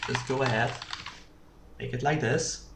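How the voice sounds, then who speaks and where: A screencast tutor talks slowly and haltingly, working through code out loud. The sound is echoey, with clear background noise and the clicking of keys as they type. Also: medium pitch, steady tone. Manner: halting, thinking aloud while typing. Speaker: programming tutorial presenter. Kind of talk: unscripted screencast coding tutorial. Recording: roomy, distant mic with clear background noise. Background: keyboard typing and mouse clicks.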